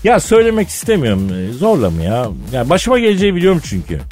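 Male radio co-host, lively swooping intonation, colloquial teasing banter, faint music underneath. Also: on the high side, fast talker. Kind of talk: casual comic banter. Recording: music bed behind the voice.

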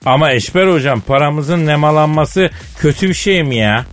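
A man's voice: fairly high pitch, animated, normal speed. A man hosting a comic radio show, bantering and interviewing in a teasing, mock-scolding colloquial way. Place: radio studio. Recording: studio voice over background music.

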